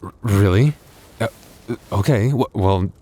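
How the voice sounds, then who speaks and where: A man voicing a tender, bashful young werewolf boyfriend in an intimate ASMR roleplay, halting and stammering, with breathy little laughs and sighs. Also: on the low side, dark tone. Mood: shy, touched.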